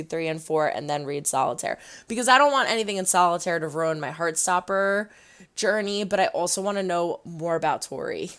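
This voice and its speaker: A woman hosting a casual reaction vlog, chatty and animated, her voice going hoarse. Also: mid-range voice. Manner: chatty, animated, rambling with restarts. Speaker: reaction video host.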